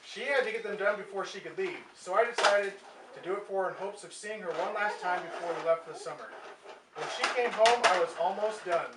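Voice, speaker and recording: A woman's voice: medium pitch, fairly quick. An American woman reading a scripted family story aloud as a puppet show narrator. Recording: echoey, very noisy room recorded from far off.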